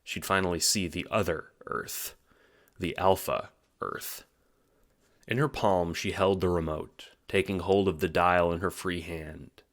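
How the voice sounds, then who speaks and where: A man with a very low voice narrates an audio drama. He reads scripted storytelling prose as the narrator of the tale. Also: fairly even intonation, measured pace, fairly bright tone.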